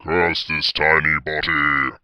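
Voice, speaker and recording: A man voicing a pompous, melodramatic evil-genius baby pony with a booming villain's voice in a story reading. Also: flat delivery, takes it slowly, clear, resonant tone, no room sound, highs cut off. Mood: indignant, vengeful. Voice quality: very deep, booming voice.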